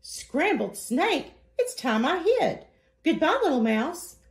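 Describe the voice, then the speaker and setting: Sly, cowardly storybook snake woman reader, high and coaxing, drawn-out words, roomy sound. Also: very animated, full character voice. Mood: scared. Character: sly snake, falsely friendly, easily scared.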